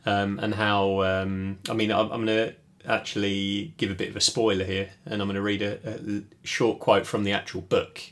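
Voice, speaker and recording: A man, talking things over in a relaxed podcast conversation. He thinks aloud as he goes, pausing on fillers and restarting his sentences. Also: on the low side. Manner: thoughtful, many fillers and restarts. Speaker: podcast co-host. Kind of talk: casual reflective chat.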